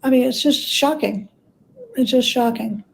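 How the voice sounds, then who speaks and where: A woman speaking as a guest author in a conversational interview, explaining things in a halting, thoughtful way. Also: on the high side, vocal fry.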